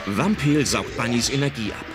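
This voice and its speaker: A man's voice: mid-range voice, normal speed, lightly acted. A man narrating a children's adventure radio play as a dramatic storyteller. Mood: dramatic narration. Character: dramatic male storyteller of a magical-girl adventure. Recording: loud music under the voice.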